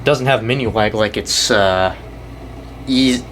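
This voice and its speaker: A young American man giving a casual, off-the-cuff show-and-tell, talking in a halting, rambling way and often correcting himself. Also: lowish voice. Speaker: hobbyist video creator. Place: indoors in a house. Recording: noisy room with air-conditioner hum.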